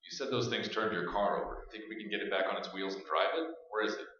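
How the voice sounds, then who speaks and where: A man's voice: fast, sharp tone. A man playing a determined, shaken, brooding survivor of a ghoul outbreak in a staged radio play. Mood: practical. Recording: boomy echoing stage hall, dull sound.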